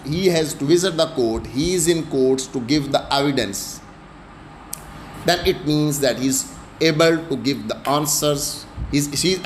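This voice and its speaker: A man with a Pakistani accent speaks as a lecturer in a fairly high voice. He explains step by step and repeats key phrases for emphasis. The recording is clearly echoey, with a little noise behind him. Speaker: law lecturer. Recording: echoey room with faint background noise.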